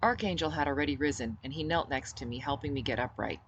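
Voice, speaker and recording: Low-voiced woman reading her novel. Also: quick pace, slight background noise. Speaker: author reading own novel aloud. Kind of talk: first-person audiobook narration.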